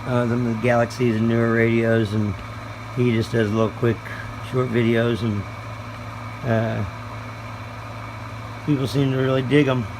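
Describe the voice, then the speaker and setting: Man, creaky voice, halting, folksy, casual presenter, noisy room with steady hum. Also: medium pitch. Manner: hesitant, halting, folksy delivery. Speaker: YouTube hobbyist presenter. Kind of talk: casual show-and-tell.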